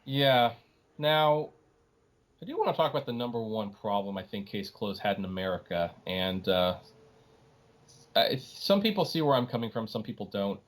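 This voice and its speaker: A man's voice: fairly low pitch, sing-song, moderate pace. Emphatic man talking on a podcast. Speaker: podcast host. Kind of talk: opinionated casual podcast discussion.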